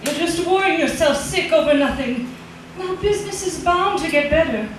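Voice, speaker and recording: An actress playing an anxious middle-aged housewife in a 1940s radio drama, speaking with worried, hesitant pleading. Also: female voice, very high-pitched, animated, normal speed. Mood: concerned. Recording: echoey, noisy old radio recording, distant.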